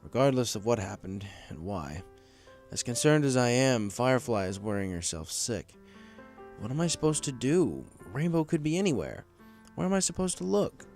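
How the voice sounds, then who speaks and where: A man's voice: mid-range voice, sing-song, moderate pace, a little mellow. A man voicing a troubled, stubborn pegasus father, weary and conversational, in a story reading. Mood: worried. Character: troubled, stubborn adult male pegasus father, factory worker. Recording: faint music under the voice.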